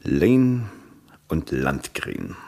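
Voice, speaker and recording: An actor playing a brooding, envious painter in a 1960s German radio play. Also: male voice, extremely low pitch, sing-song, very slow, vocal fry, a little mellow, heavily acted. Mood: wistful envy. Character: brooding, envious young painter, friend of a lawyer.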